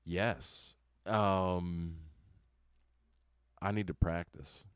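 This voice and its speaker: A man in his twenties, a band member, answering interview questions casually with many hesitant pauses. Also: low voice, clear, resonant tone, no echo, studio quality. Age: 20s. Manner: relaxed, hesitant, many ums.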